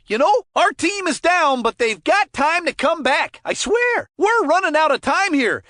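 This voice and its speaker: A woman voicing an excitable, folksy, boastful kid who commentates hockey in a cartoon video game. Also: high voice, no echo, clean studio sound. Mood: worried, urgent. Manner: folksy, gushing, exclamatory, windbag chattiness. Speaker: sports commentator.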